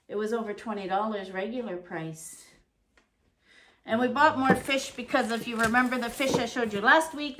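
A middle-aged woman from Canada chatting casually through her grocery haul in her home kitchen, reading prices aloud and thinking out loud. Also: a little high. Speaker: vlogger. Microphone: fixed camera at table.

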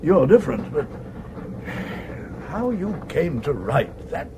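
An actor playing an absent-minded, irritable old earl in a radio comedy, halting and stammering, with an upper-class English accent. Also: male voice, fairly high pitch, very animated, full character voice. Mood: flustered, peevish. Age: older. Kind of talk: 1980s radio comedy acting. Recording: noisy old radio drama recording.

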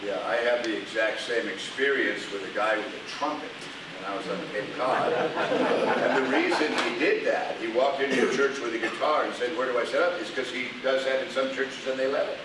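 A pastor speaking plainly and emphatically to a men's meeting in a church meeting room. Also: male voice, mid-range voice, a little fast. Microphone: far camera. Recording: echoey room from far off with hiss.

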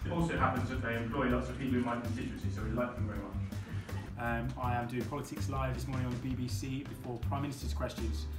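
A man's voice: medium pitch, fairly even intonation, fast talker, over music. A man in his thirties, a member of parliament, talking casually to a phone camera held at arm's length as he moves between offices and corridors, with a lot of ums and uhs. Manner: chatty, many fillers, talks while walking. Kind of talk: casual vlog narration. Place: offices and corridors, outdoors at night.

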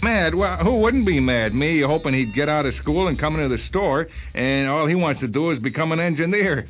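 A man acts out a grumbling, short-tempered shopkeeper father in a radio story. The voice rides high and blustering, full of exasperation. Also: animated, normal speed, fairly bright tone, slight background noise. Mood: angry.

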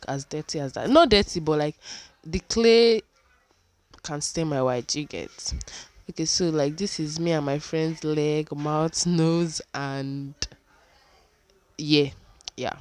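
A woman's voice: low-pitched, a little slow. A woman in her early twenties with a Nigerian accent, chatting casually and repetitively to her vlog, slipping into some Pidgin. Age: early 20s. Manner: informal, repeats herself, some Pidgin. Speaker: vlogger, student. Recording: phone recording with background noise and chatter.

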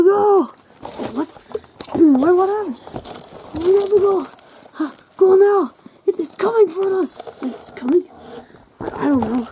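A woman play-acting frightened toy film-crew survivors fleeing a monster in amateur found-footage horror, with breathless exclamations and hushed stammering. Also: extremely high pitch. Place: outdoors on a covered paved patio. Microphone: handheld camera microphone. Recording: faint noise, handheld camera audio.

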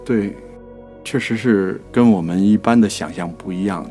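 Male co-host, notably deep voice, conversational commentary on poetry, soft music underneath. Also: moderate pace. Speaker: co-host and producer.

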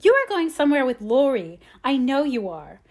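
Little girl voiced by a woman, high voice, whiny, stubborn, coaxing, petulant, storybook character. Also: sing-song, normal speed, a little mellow, full character voice. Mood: accusing.

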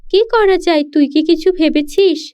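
A woman's voice: very high voice, steady tone, moderate pace, warm tone. A woman voicing an eager, questioning village sister in a children's cartoon story. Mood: doubtful, questioning. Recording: dull lo-fi sound.

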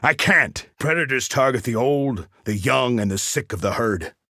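An actor playing a cocky, wry assassin mercenary in a video game, delivering short quips in a dry, sardonic, boastful way. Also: male voice, medium pitch, lively intonation, normal speed, bright, forceful tone, no room sound. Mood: dry, menacing.

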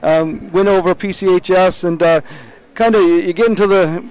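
Man, Maine accent, postgame interviewer, slightly high, stumbles through questions. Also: darkish tone, clean tone, rough recording. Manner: halting, many fillers. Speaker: sports interviewer.

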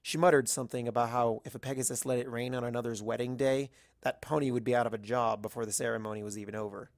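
Gravelly-edged man narrating a story, dry sound. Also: medium pitch, steady tone, fast talker, no echo. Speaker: narrator of fan fiction. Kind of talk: expressive first-person audiobook-style narration.

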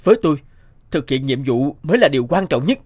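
A man's voice: fairly high pitch, animated, fast, background noise, lightly acted. A man voicing an earnest, determined young soldier who speaks formally and pleadingly to superiors in a cartoon.

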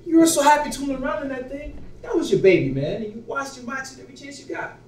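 A man's voice: extremely high pitch, lively intonation, quick pace, a little mellow, a little hiss or hum. A young man on a small theater stage playing a shallow, smitten young man full of doubts, talking in an eager, earnest way. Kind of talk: live stage acting. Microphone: far room mic. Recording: roomy theater sound from far off.

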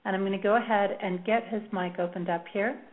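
A woman's voice: mid-range voice, fairly even intonation, brisk. Female interview host, friendly and chatty, restarts often; narrow, phone-like audio. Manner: warm, chatty, with small laughs and restarts. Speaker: radio show host. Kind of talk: interview hosting. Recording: tinny phone-like line.

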